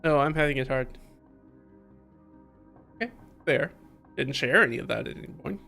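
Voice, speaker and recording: A man's voice: medium pitch, expressive, moderate pace, gravelly, bright, forceful tone. A man doing live playthrough commentary on a visual novel, reading dialogue aloud and reacting in an informal, slangy, wry way. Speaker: streamer playing a visual novel. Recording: faint background music.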